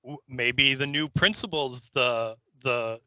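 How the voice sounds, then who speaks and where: A man's voice: medium pitch, slow pace, bright, forceful tone, no echo. A man co-hosting a podcast, chatting casually in short, conversational remarks. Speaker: podcast co-host. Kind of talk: casual chat. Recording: slightly dull, dry close recording.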